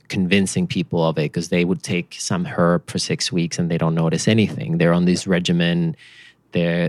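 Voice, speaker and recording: A man from Finland with a Finnish accent, a company founder chatting casually as a podcast guest, relaxed and rambling with fillers. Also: low voice, no echo. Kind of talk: casual interview chat.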